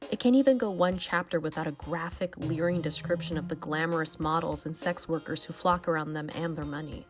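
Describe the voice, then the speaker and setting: A woman's voice: sits low, a little fast, over music. A woman reading an online book review aloud.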